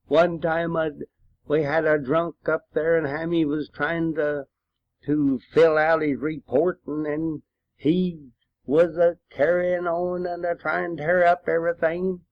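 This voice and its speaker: Very old American man, country accent, unhurried folksy storyteller, fairly high-pitched voice, on a dull home recording. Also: level tone. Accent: rural American. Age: 80s or older. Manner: folksy, rambling storytelling with frequent throat-clearing pauses. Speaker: war veteran telling his memories. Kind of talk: informal oral-history reminiscence.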